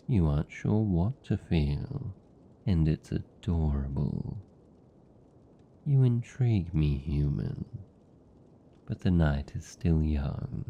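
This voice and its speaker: A man's voice: rumbling low, dark tone, a little hiss or hum, full character voice. A British man playing a seductive, possessive vampire captor in an intimate fantasy ASMR roleplay, teasing and condescending in manner. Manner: slow, teasing, condescending, velvety menace.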